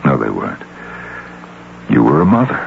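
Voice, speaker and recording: An actor playing a tender, persuasive middle-aged rich suitor in an old-time radio drama. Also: male voice, rumbling low, huge pitch swings, normal speed, gravelly, exaggerated voice. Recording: muffled, lightly noisy radio broadcast tape.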